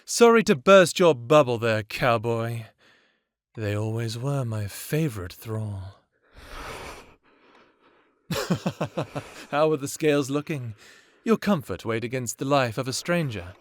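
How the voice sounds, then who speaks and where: Guy doing a sadistic vampire villain, drawly and mocking, slow, super dry recording, audio rp. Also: mid-range voice, lively intonation, no echo. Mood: smug. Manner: taunting, drawling, savoring words, mocking chuckles. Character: cruel taunting vampire ex, sadistic male manipulator. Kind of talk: dramatic audio roleplay acting.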